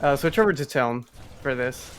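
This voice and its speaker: A man's voice: medium pitch, lively intonation, normal speed, slight background noise. A man giving casual live commentary on a video game speedrun, explaining as he goes.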